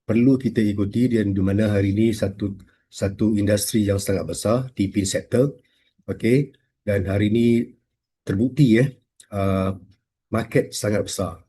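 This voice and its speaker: A man from Malaysia, a community representative, giving a halting short speech at a launch event, full of fillers. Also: fairly low pitch, warm tone, slightly rough. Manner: halting, many fillers, mixes English terms into Malay.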